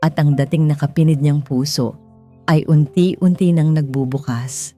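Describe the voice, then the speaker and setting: A woman's voice: sits low, fairly even intonation, unhurried, dark tone. A woman with a Filipino accent narrating a scripted dramatic romance story, as a storyteller. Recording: music under the voice. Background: background music.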